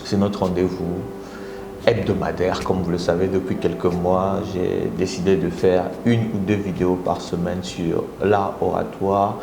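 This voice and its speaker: A Congolese man with a Congolese French accent hosting a live motivational talk, coaxing his viewers and often jumping into high exclamations. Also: measured pace, vocal fry. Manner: animated, coaxing audience, rising into high exclamations. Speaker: motivational speaker and live host. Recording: echoey room with audible background noise.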